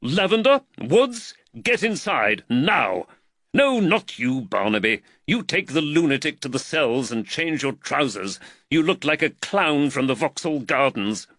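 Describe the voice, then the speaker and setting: A man's voice: a little high, big pitch swings, measured pace, fairly bright tone, no echo, studio quality, voice pushed up, exaggerated voice. A man voicing an exasperated, short-tempered magistrate in an audiobook, snapping out commands. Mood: furious, snapping. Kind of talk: audiobook character dialogue.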